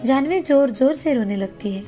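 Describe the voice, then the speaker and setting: A woman's voice: sits high, expressive, normal speed, dark tone. A woman narrator reading a scripted moral fairy tale as storytelling. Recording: background music under the voice.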